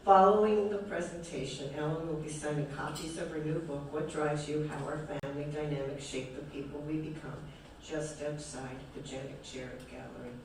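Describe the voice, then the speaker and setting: Midwest guy hosting an event, reads the intro, a bit high voice, echoey hall, mic far away. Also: steady tone, normal speed, noisy background. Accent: American Midwest. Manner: enthusiastic, formal. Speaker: event host introducing the author. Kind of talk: reading a formal introduction. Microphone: distant room mic.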